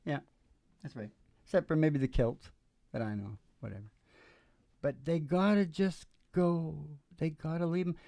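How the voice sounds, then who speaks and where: A man's voice: fairly high pitch, a little slow, vocal fry, long pauses, warm tone, no room sound. An older man hosting from a stage, chatting casually in a colloquial, emphatic, rambling and opinionated way into a vocal microphone. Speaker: musician and show host. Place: small indoor stage. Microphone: close stage vocal microphone.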